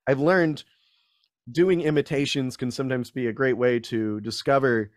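A man's voice: mid-range voice, steady tone, normal speed, dry recording. A young man talks through a studio mic on a video call from a small room at home. He is chatty and self-deprecating, rambling with restarts. The sound is dry and close. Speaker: guest voice actor. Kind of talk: casual interview chat.

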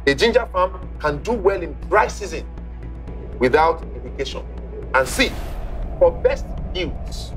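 A middle-aged man hosting a television quiz show in a studio, theatrical, drawing out key words, on a lapel mic. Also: rough recording. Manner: theatrical, swings pitch and drags out key words. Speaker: game show host. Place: indoor TV studio set. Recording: faint music behind.

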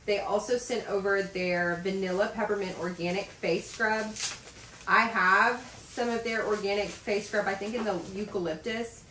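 A woman in her thirties chatting in a casual vlog as she unboxes packages in a home office, gushing and excited, with lots of fillers. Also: mid-range voice, moderate pace, distant mic, slight background noise. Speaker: vlogger. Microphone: camera across a desk. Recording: roomy sound from off the mic.

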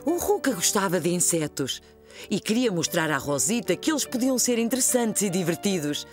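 A woman with a European Portuguese accent narrating a scripted children's story in an expressive storybook manner. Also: medium pitch, normal speed, soft background music.

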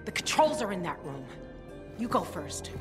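A woman's voice: on the low side, sing-song, normal speed, over music. An actress playing a tough, vengeful, defiant young female fighter in a video game. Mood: defiant, cold. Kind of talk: dramatic video game acting.

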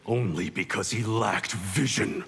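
A man's voice: on the low side, unhurried, gravelly, fairly bright tone, breathy edge, heavily acted. A man playing a cold, proud and domineering ninja grand master in a fighting game, commanding and menacing. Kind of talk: dramatic fighting game dialogue.

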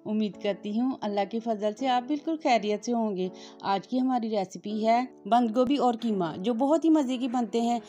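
A woman's voice: a little high, normal speed, mellow, lo-fi sound, faint music behind. A woman, hosting a cooking channel and narrating each step politely in a voice-over. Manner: step-by-step, polite, religious greetings.

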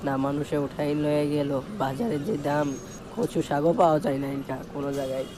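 A man's voice: fairly high pitch, steady tone, a little fast, background noise, lightly acted. A man playing a talkative, aggrieved young village nephew in a comic cartoon, complaining dramatically with a Bangladeshi Bengali accent. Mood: friendly, commiserating.

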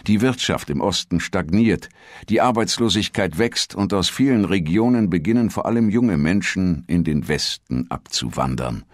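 A man reading a scripted documentary narration in a measured, formal manner. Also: low voice, expressive, no echo, studio quality.

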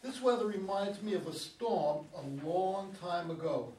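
A man's voice: high voice, lively intonation, a little slow, a little mellow. An older man playing a weary, uneasy driver, reflective and wry, in a staged play reading. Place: meeting room, around a table. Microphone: far camera. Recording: distant mic, echoey room, background noise.

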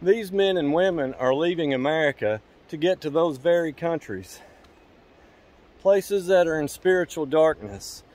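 A man in his forties delivering an earnest scripted monologue as a church vlog host, in measured, preacherly phrases. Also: a little high, expressive, darkish tone. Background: instrumental music.

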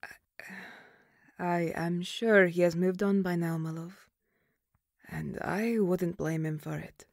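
A woman playing a stern, duty-bound banshee mother in an audio roleplay, speaking formally and gravely. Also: fairly low pitch, fairly even intonation, slow pace, long pauses, dry recording, clean studio sound, full character voice. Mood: wistful.

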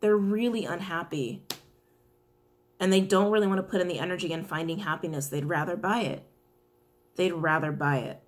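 A young woman with a raspy voice giving a casual tarot reading to camera from a small indoor room, chatty and giggly, with apologetic asides. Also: fairly low pitch, moderate pace, pauses often. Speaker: tarot reader.

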